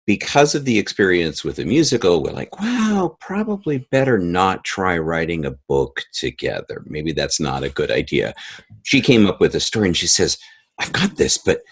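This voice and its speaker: Very deep, husky man telling stories. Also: wildly swooping pitch. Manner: halting, acts out remembered dialogue. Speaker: podcast guest, audio drama creator. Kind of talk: conversational interview storytelling.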